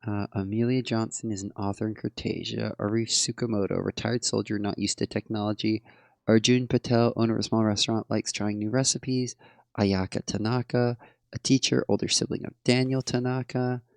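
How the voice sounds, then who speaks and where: A hoarse man casually thinking aloud and tallying numbers while commenting on his game. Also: fairly low pitch, flat delivery, normal speed, darkish tone, no room sound. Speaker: game player. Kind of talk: let's play commentary.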